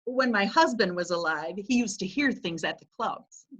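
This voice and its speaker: A woman's voice: medium pitch, expressive, moderate pace, a bit bright. An actress reading the part of a skeptical widow who teases her companions, in a readers theatre play. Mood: needling. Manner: teasing, pointed. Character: sharp-tongued wealthy widow, teasing and skeptical. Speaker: actor.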